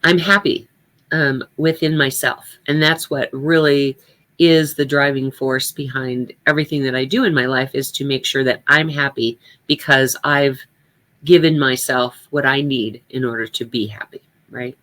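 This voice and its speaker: A woman's voice: very deep voice, steady tone, normal speed, a bit bright. An older woman giving a reflective personal talk, speaking candidly and conversationally with emphasis on her points.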